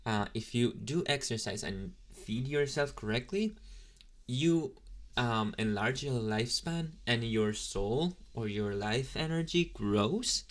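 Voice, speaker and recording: A man's voice: mid-range voice, lively intonation, takes it slowly. A man playing an inexperienced young male demon intern in an ASMR roleplay, chatting in a casual, hesitant, apologetic way straight to the listener. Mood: explaining, reassuring. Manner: rambling, hesitant, casually chatty with slangy asides.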